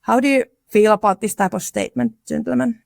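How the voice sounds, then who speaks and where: This is a woman on a conference panel with a gravelly edge to her voice. She talks frankly and with confidence. Her phrases often restart. The sound is close and dry. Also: fairly high pitch, fairly even intonation, a little fast, darkish tone, no echo. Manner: frank, self-assured, frequent restarts.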